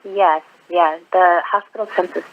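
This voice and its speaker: A woman's voice: on the low side, steady tone, measured pace, slight background noise. Woman in her twenties on a muffled phone line. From Iraq. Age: 20s. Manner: earnest, hesitant with frequent ums. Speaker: interview guest, nurse. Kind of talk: phone interview.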